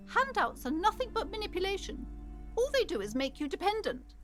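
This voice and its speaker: A woman's voice: very high-pitched, sing-song, brisk, a bit bright, soft background music, exaggerated voice. An actress voicing a prim, snobbish female bookshop owner who lectures customers in a mannered, haughty way. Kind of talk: video game character voice.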